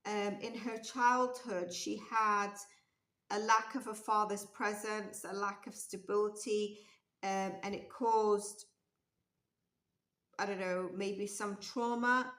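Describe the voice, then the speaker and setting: A woman's voice: medium pitch, little pitch movement, takes it slowly, a bit bright. A woman giving a casual tarot reading, musing aloud with many ums and restarts, her voice a little strained. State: losing her voice. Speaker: tarot reader. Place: indoors at a table with candle and cards. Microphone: overhead camera, hands only. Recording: roomy echo.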